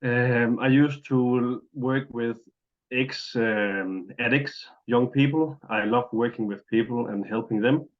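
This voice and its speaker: A man's voice: medium pitch, slow. A young man from Denmark with a Danish accent, speaking English carefully and searching for words. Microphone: video call. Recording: muffled video call audio.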